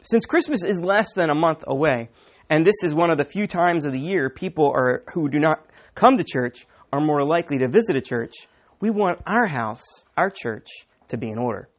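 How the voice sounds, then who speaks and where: A male pastor delivers a conversational sermon in a slightly high voice with a sniffly, mildly raspy tone. His pitch rises and falls in a lively way as he chuckles and keeps checking in with the congregation. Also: moderate pace.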